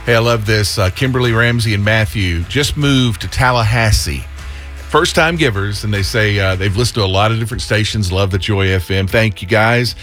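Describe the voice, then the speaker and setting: A man's voice: on the low side, moderate pace, a bit bright, soft background music. A man hosting a radio fundraiser, upbeat, rattling off donor names and urging listeners to give. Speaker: radio host. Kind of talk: fundraising appeal.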